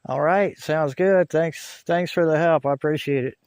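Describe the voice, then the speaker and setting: A man's voice: on the high side, normal speed, darkish tone, dry recording. Folksy man with a close, dry sound. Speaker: video host reviewing walkie-talkies. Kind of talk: casual talk to camera and over a radio. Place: outdoors on a hill.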